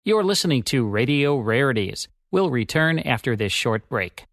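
A man hosting a classic radio podcast, reading a promo in a smooth, even announcer delivery. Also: mid-range voice, normal speed, dry recording. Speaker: radio host. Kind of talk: scripted promo read.

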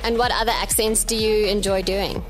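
A woman's voice: medium pitch, steady tone, normal speed, faint music behind. A woman, presenting and interviewing on a radio show in an upbeat, encouraging way.

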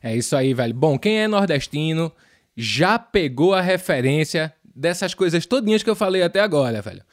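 High-pitched northeastern Brazilian man hosting. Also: lively intonation, moderate pace. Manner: playful, uses pet names and regional slang. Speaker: podcast co-host. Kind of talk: casual, slangy music show chat.

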